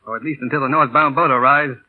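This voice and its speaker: An actor playing a tough, brusque boss of a small airline in 1940s radio drama, clipped, commanding and slangy. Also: male voice, medium pitch, fairly even intonation, quick pace, natural voice. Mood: firm, insistent. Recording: tinny, band-limited vintage radio broadcast.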